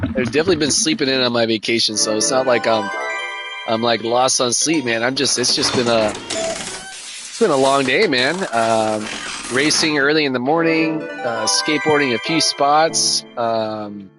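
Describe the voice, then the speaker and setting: A man's voice: mid-range voice, moderate pace. A middle-aged man, tired and losing his voice, chatting casually and rambling while he races, saying "man" a lot. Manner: laid-back, rambling, says "man" a lot. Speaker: sim racing streamer. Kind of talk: casual chat while racing. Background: loud music.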